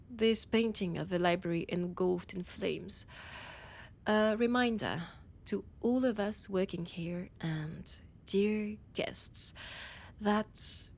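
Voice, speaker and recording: A woman playing a haughty, annoyed vampire scholar with a French accent, speaking in a theatrical monologue with dramatic pauses. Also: mid-range voice, gravelly, a little hiss or hum. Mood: grave, mournful. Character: annoyed, haughty female vampire scholar guiding a library guest. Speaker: audio roleplay performer. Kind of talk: slow theatrical in-character monologue.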